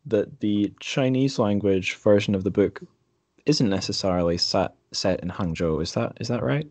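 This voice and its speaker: Low-pitched man, halting delivery. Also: a little mellow, dry recording. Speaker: podcast host. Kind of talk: casual book discussion.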